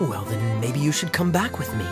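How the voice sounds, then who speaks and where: Low-voiced, determined bandit. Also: female voice, lively intonation, fairly quick, over music. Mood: resolute. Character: determined, calm desert bandit in a fairy tale.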